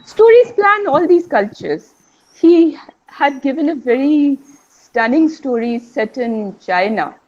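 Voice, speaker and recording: Lively high-voiced woman panelist. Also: slow pace, dull audio. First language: Bengali. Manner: rambling, enthusiastic, with self-corrections. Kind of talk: literary panel discussion.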